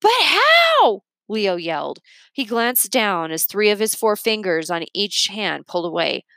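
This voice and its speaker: A woman reads a children's novel aloud as a storyteller. Her voice sits at a middling pitch, with expressive scripted delivery and a dry, close sound free of room echo. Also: moderate pace.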